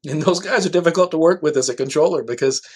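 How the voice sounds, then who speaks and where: A man's voice: on the high side, quick pace, husky. A man speaking as an air traffic control manager in a podcast interview, explaining things conversationally with everyday examples.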